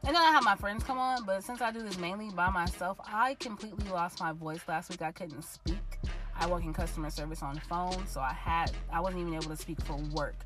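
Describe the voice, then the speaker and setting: A young woman hosting her own podcast from inside a car, chatty and slangy, a little congested from a lost voice. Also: lowish voice. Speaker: podcast host. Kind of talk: casual solo podcast talk.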